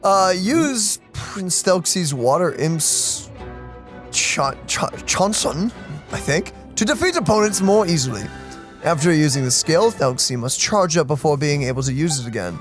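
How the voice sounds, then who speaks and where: Animated young man playing games. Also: on the high side, unhurried, faint music behind. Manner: reactive, slangy, playful. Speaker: gaming video host. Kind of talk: casual let's play commentary.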